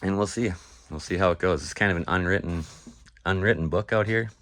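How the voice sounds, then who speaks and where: Very deep, nasal young man rambling. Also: brisk, a bit bright. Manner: rambling, laid-back, many fillers and restarts. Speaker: off-grid cabin vlogger. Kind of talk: casual talk to camera. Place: outdoors at an off-grid cabin property.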